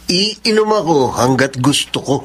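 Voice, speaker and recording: A man's voice: on the high side, animated, measured pace, heavy background noise. A man with a Filipino accent acting a cocky, stubborn young husband in a radio drama, brash and dismissive. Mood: irritated, stubborn.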